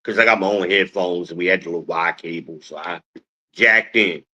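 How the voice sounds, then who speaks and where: A deep-voiced man from New Jersey hosting a casual livestream, telling stories and calling on the chat like a preacher asking for an amen. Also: normal speed, bright, forceful tone, slightly rough, muffled recording. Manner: preacher-like call and response with the chat. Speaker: podcast host.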